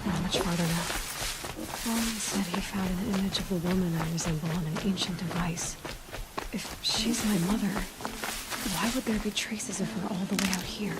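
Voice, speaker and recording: A woman's voice: lowish voice, normal speed, heavy background noise, close to an everyday voice. A woman acting as a determined, inquisitive young huntress in a video game, terse and analytical as if thinking aloud. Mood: wary, musing.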